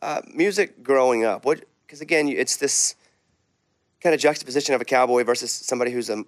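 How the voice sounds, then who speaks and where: A man hosting a podcast, asking questions in a loose, conversational way and wandering a little as he thinks. His pitch is medium and climbs when he stresses a point. The sound is close and dry, with no room echo. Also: fast talker. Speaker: podcast host. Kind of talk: casual interview chat.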